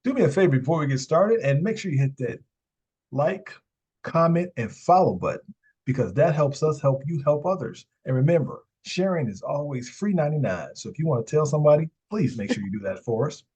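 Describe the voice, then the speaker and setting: A man's voice: a little high, expressive, a little fast, mellow, slightly rough, highs cut off. A man hosting an interview podcast, upbeat in a scripted intro, then asking chatty questions with repeated words. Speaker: podcast host.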